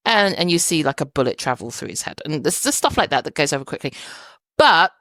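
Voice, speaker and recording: A woman's voice: sits low, very animated, fairly quick, a bit bright, dry recording. A woman guest on a podcast in casual chat, thoughtful and hedging, laughing easily.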